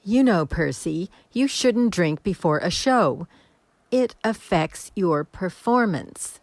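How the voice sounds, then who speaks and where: An actress playing a weary, long-suffering magician's assistant in a radio mystery play, frank and reproachful. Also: female voice, fairly low pitch, expressive, slow pace, darkish tone, dry recording, exaggerated voice.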